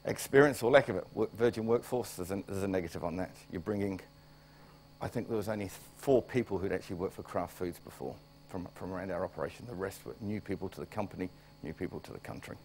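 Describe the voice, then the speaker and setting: A man's voice: deep voice, quick pace, a little mellow, a little hiss or hum, poor audio. New Zealand man with a cold presenting. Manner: wry, plain-spoken, restarts phrases. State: coming down with a cold. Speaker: plant director. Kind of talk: conference presentation.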